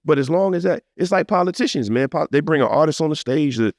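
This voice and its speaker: A man's voice: fairly high pitch, lively intonation, fast, darkish tone, dry recording, clean studio sound. A man talking casually as a podcast host, earnest and emphatic, with slangy phrasing and repeated, circling phrases.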